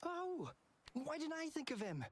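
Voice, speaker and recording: A woman's voice: sits high, very animated, unhurried. A woman playing a friendly, hopeful young relay racer in a video game. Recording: music playing behind the voice.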